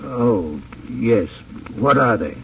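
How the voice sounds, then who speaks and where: An actor playing a cautious, businesslike American drug wholesaler with a hesitant manner in a 1950s radio drama. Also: male voice, medium pitch, lively intonation, slow, creaky. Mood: guarded. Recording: distant, dull, noisy old radio transcription.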